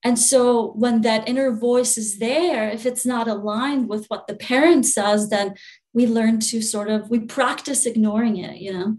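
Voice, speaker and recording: A young woman from Albania, a spiritual channeler, talking in a relaxed interview, soft-spoken with frequent laughs and restarts. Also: on the high side, measured pace.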